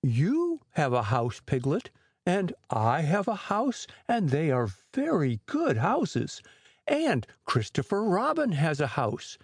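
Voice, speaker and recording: A woman voicing a slow-witted, kindly, earnest toy bear in a children's storybook reading. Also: low voice, darkish tone, husky, no room sound, studio quality, exaggerated voice. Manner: ponderous, earnest.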